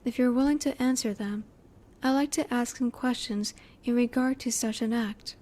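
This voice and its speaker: Woman as a soft-toned, high-voiced curious alien girl. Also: steady tone, normal speed, close to an everyday voice. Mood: polite, curious. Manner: formal, precise, stilted wording, politely inquisitive. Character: curious, polite, emotionally reserved female alien. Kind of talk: roleplay audio drama, one-sided dialogue to the listener.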